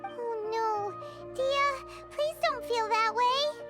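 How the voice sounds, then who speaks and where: A woman voicing a small floating fairy companion in a game, with a very high, squeaky voice. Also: extremely slow, music in the background, heavily acted. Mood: concerned. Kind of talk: game voice acting.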